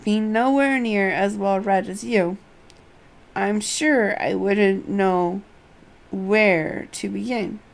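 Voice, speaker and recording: A woman reads as a learned advisor in a fantasy game. The delivery is grave and solemn, full of archaic turns of phrase, and very measured and slow. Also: mid-range voice, gravelly.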